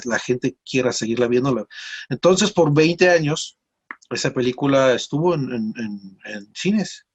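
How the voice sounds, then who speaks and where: A man from Mexico with a Mexican accent, telling stories casually as an interview guest in a rambling, halting way with repeated words. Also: medium pitch, husky. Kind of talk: casual conversational storytelling.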